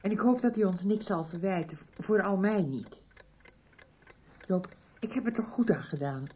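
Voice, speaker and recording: A mellow, mid-pitched anxious mother played by an actress in a radio soap. She speaks hesitantly, as a sleepless, guilt-ridden wife, with faint noise behind her. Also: expressive, normal speed, close to an everyday voice. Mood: hushed, fragile. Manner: worried, hesitant, hushed. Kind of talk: Dutch radio soap acting.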